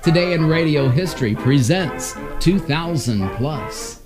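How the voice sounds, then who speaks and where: A man's voice: fairly high pitch, animated, measured pace. A man hosting with scripted narration about vintage radio. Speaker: host. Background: music.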